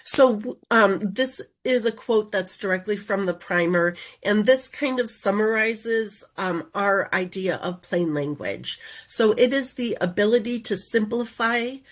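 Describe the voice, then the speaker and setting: A woman speaks with the stuffy, nasal sound of a head cold, her voice sitting at an ordinary middle pitch. She walks through her material in a careful, explanatory way. She is presenting over a video call. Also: unhurried, hardly any pauses. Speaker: community engagement coordinator presenting a webinar. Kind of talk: online presentation.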